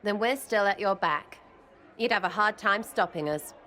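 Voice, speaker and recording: A woman's voice: mid-range voice, moderate pace, pushed and bright, close to an everyday voice. Actress as brash, cheeky young rogue woman. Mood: warm, cheeky. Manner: slangy, cheeky, blunt. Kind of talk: video game dialogue acting.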